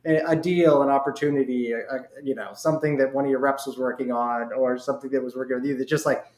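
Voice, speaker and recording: A man's voice: medium pitch, steady tone, quick pace, darkish tone. A man hosting a casual podcast interview, speaking informally and circling around his questions in a rambling way. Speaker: podcast host. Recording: echoey, roomy sound.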